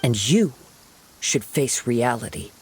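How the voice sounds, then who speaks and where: An actor playing a calm, honorable young male samurai wanderer in a video game, measured and earnest with formal diction. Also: medium pitch, slight background noise, rough recording. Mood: firm, challenging. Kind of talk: dramatic video game voice acting.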